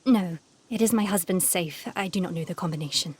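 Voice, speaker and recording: A woman's voice: lowish voice, fairly quick, husky. An actress playing a reserved, formal aristocratic lady of the house in a video game. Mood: guarded. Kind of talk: video game cutscene acting.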